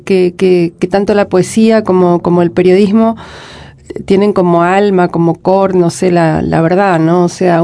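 A woman in her forties speaks with an Argentine accent. Her voice has a gravelly fry running through it. She answers reflectively and often breaks off to restart her sentences. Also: fairly low pitch, dark tone. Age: 40s. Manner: reflective, talks in halting restarts. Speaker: guest, poet and writer. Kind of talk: casual interview conversation.

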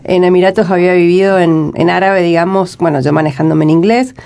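A woman in her forties with an Argentine accent, a writer answering interview questions in a reflective way, often pausing and restarting. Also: fairly low pitch, steady tone, vocal fry, warm tone. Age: 40s. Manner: reflective, talks in halting restarts. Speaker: guest, poet and writer. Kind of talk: casual interview conversation.

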